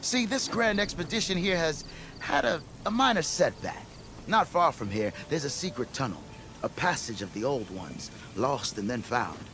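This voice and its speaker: An actor playing a cheerful, boastful expedition leader in a video game, florid and flattering, breaking into sudden laughs. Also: male voice, on the high side, moderate pace, slight background noise. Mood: storytelling. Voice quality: theatrical, florid. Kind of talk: video game cutscene acting.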